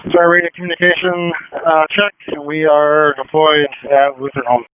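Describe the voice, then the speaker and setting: A man's voice: fairly high pitch, steady tone, moderate pace. A man working as a police drone operator, giving brief check-ins over a two-way radio. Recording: phone-like radio with faint static.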